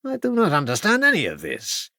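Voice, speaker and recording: A man's voice: on the low side, steady tone, takes it slowly, creaky, fairly bright tone, clean tone, no room sound, clean studio sound, full character voice. A man voicing an anxious foreign street violinist who speaks in broken English and refers to himself by name. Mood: bewildered. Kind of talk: audiobook character voice.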